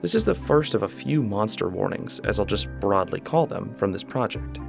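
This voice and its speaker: Gravelly low-voiced commentary host. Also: male voice, normal speed. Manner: relaxed, conversational, enthusiastic asides. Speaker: YouTube host explaining a horror series. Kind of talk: casual analysis commentary. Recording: voice-over with music behind.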